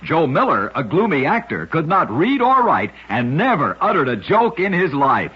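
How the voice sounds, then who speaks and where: A man hosting an old-time radio show, narrating short scripted oddity tales in a husky, rough-edged voice. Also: fairly high pitch, huge pitch swings, moderate pace. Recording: dull, lo-fi old radio recording.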